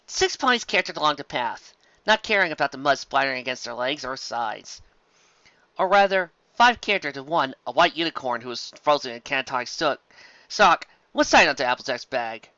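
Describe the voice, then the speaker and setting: A man reading a story aloud as an expressive amateur narrator, recorded in dull audio. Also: mid-range voice, normal speed, dry recording.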